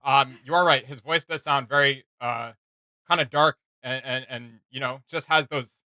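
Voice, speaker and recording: Bright-voiced man hosting casual reactions. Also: mid-range voice, steady tone, moderate pace, no room sound, studio quality. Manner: conversational, rambling. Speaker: reaction video host.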